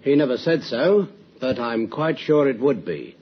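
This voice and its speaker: An actor playing a paternal, confiding company boss, genteel and hesitant, in old-time radio drama. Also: male voice, medium pitch, big pitch swings, a little slow, slightly rough. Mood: recollecting. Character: concerned, gossipy, paternal male company boss. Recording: muffled, noisy old radio recording.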